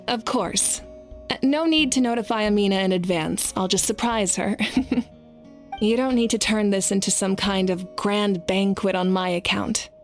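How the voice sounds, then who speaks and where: A woman voicing a calm, modest and gracious warrior leader in an anime-style video game, speaking in a measured way. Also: mid-range voice, faint music behind, natural voice.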